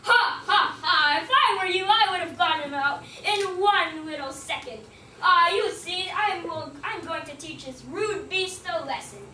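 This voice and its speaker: A bragging lion king, put on by a woman boasts loudly in a bright, forceful voice. The room echoes around a distant mic. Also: very high voice, lively intonation, a little slow, hardly any pauses, slight background noise, heavily acted. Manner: bragging, loud, laughing.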